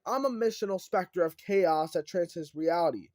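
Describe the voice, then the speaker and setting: A man's voice: high voice, normal speed, darkish tone, dry recording, clean studio sound, lightly acted. A man voicing a brash chaos demon from a book, theatrical and taunting, like a mocking salesman. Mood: indignant. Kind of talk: animated audiobook character reading.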